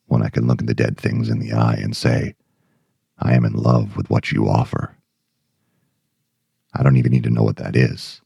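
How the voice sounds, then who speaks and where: Brooding male writer man acting, obsessed with darkness. Extremely low, husky voice, ominous and deliberate, for a dramatic monologue. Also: warm tone, no room sound, muffled recording, exaggerated voice. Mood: obsessed, yearning. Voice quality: very deep, gravelly, husky.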